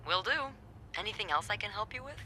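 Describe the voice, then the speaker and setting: A playful, sardonic young receptionist in a 1950s mystery game. Her voice is strikingly bright and forward, and her pitch moves a lot as she teases and quips. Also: quick pace, a little hiss or hum. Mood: teasing, lively. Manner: wry, teasing, expressive. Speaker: hotel receptionist. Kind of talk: conversational video game acting.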